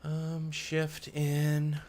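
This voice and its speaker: A man's voice: on the high side, completely flat delivery, extremely slow, darkish tone. A man giving a casual, unscripted computer tutorial as an instructor, thinking aloud and murmuring to himself as he works. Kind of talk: casual unscripted screen-recorded walkthrough. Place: indoors at a computer. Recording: background noise, keyboard typing and clicks. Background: keyboard typing and mouse clicking.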